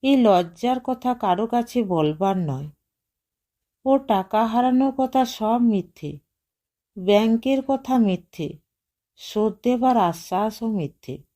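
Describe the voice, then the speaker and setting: A woman reader plays an anguished young wife in an audio short story, proud and resolute. Her voice is mellow and slow, pleading with bitter insistence. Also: on the high side, lively intonation.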